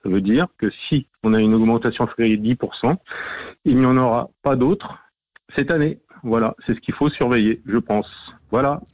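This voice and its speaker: A man leaving a voicemail for a radio show, speaking in a halting, careful way. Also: medium pitch, no echo. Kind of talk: recorded voicemail message. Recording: tinny dry phone line.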